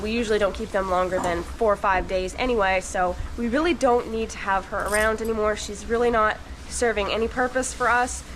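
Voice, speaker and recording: Stuffy-voiced woman chatting fast in a barn. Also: on the high side. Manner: chatty, talks straight to viewers. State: stuffy from a cold. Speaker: dairy farm worker. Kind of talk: casual farm vlog. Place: dairy barn, with calves and cows. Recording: noisy barn recording.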